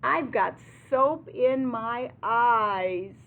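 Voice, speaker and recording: A woman's voice: a little high, narrow, tinny audio, a little hiss or hum, voice pushed up. A woman voicing a grumbling farm cow from a children's storybook, crying out in complaint. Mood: complaining. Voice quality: crying out, drawn-out. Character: grumbling farm cow who hates baths.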